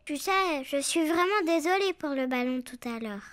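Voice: high-pitched, lively intonation, normal speed, noisy background. A performer voicing an eager, kind little boy bear cub in a preschool cartoon, earnest and childlike. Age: child character. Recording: music behind the voice.

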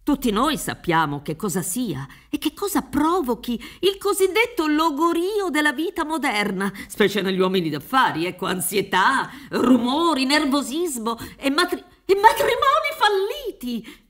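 A pompous female defence advocate in a radio play. The voice is fairly high and very animated, with rhetorical flourishes and theatrical pauses as it pleads. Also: full character voice. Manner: theatrical, rhetorical, halting for emphasis. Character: pompous, wheedling defence lawyer pleading a client's innocence. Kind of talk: radio drama acting. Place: dark theatre stage, reading from script. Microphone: studio microphone with headphones.

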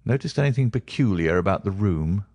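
A calm, incisive detective lays out his reasoning in a low, measured voice. A man reads him in a dry, close audiobook recording. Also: no echo. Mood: composed. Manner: measured, precise, cool.

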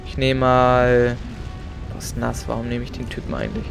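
A man's voice: mid-range voice, completely flat delivery, moderate pace, vocal fry, over music. A young man casually commenting on his own gameplay, chatty and joking. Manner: chatty, joking, mixes in playful English. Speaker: let's play gamer.

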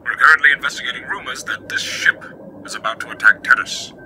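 A man playing a brisk, formal military officer giving a report in a sci-fi audio drama. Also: mid-range voice, huge pitch swings, bright, forceful tone, slight background noise, exaggerated voice, poor audio. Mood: urgent, formal. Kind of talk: fan audio drama acting.

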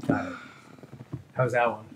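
Man giving instructions over noisy background. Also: unhurried. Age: middle-aged. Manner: short plain directives. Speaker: chiropractor. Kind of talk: casual hands-on instructions to a patient. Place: small indoor treatment office. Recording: clearly noisy room with clicks.

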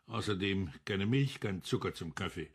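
An actor playing a bitter, stubborn older retired police chief in a radio crime drama, curt and commanding. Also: male voice, deep voice, expressive, moderate pace, breathy edge, exaggerated voice. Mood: curt, irritable. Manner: curt, irritable.

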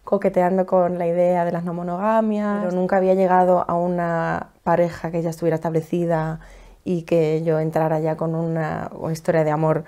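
Woman from la mancha, 30s, lowish warm voice, thoughtful, pauses to hum. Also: flat delivery. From La Mancha, Spain. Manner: thoughtful, measured, some humming pauses. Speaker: interviewee. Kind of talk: personal account. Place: living room at home, indoors.

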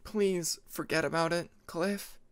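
A man voicing a troubled, apologetic young male dragon student who speaks haltingly. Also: fairly high pitch, steady tone, smooth, pitched above the performer's own voice. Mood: worried. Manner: hesitant, halting.

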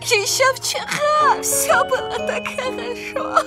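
An earnest, emotional young pig bride from a cartoon. The voice is extremely high and drawn out, pleading and exclamatory. Loud music plays behind it. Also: female voice, slow pace, exaggerated voice. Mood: tearful, wistful. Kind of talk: cartoon voice acting.

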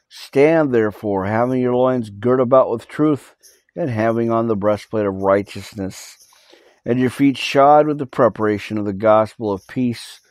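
A man pastor reading scripture and giving a live prayer update on his podcast, halting with many fillers and restarts, earnestly urging listeners to repent, recorded on a cell phone in a small home studio room. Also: medium pitch, a little mellow, dry recording. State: recovering from losing his voice.

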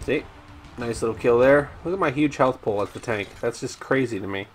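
A man commentating over his own gameplay, chatty and rambling with ums and restarts, his voice slightly raspy today. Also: mid-range voice, brisk, faint music behind. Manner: rambling, frequent ums and restarts, addresses viewers as guys. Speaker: gaming YouTuber.

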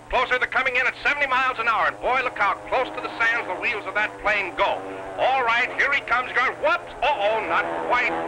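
A man giving 1930s newsreel stunt commentary in an excitable, folksy showman's delivery. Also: high-pitched. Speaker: newsreel announcer. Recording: noisy 1930s newsreel soundtrack.

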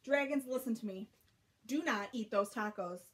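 High-voiced woman reading a picture book expressively. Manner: expressive, drawn-out emphasis with dramatic pauses. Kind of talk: animated children's picture-book read-aloud. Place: small bedroom at home.

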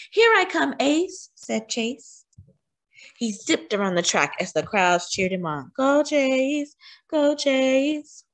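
Animated woman reading a children's storybook. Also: medium pitch. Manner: animated, acting out sighs and cheers. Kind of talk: expressive children's storybook read-aloud.

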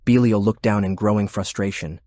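Low-voiced man narrating, dry sound. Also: steady tone, normal speed, mellow, dry recording. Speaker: audiobook narrator. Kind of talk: scripted dramatic narration.

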